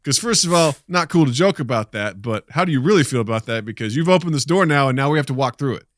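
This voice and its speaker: A man's voice: mid-range voice, lively intonation, quick pace, no echo, studio quality. A middle-aged man co-hosting a casual podcast, venting with swearing and acting out imagined exchanges. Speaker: podcast co-host.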